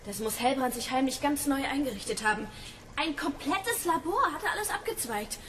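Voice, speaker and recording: Radio play: jittery, amazed student, very animated and high. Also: female voice, brisk. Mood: awestruck. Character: nervous, awestruck female student snooping around. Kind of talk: German radio play acting. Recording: noisy ambience behind.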